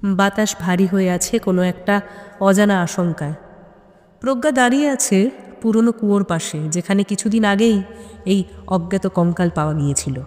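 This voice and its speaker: A woman narrating a scripted suspense story dramatically, in measured phrases with pauses for tension. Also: mid-range voice, darkish tone. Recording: long hall-like reverb on the voice.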